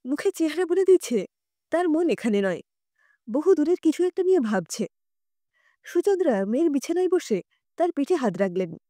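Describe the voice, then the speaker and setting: Female audio-story narrator, animated and high, gently dark in tone, scripted delivery. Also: normal speed, long pauses, no room sound, clean studio sound.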